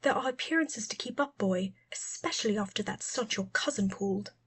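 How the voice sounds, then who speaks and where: A woman's voice: mid-range voice, a little fast, fairly bright tone, husky. A cold and commanding aristocratic mother, voiced by a woman narrator in an audiobook story. She speaks with stern control and a haughty hiss, like someone used to being obeyed.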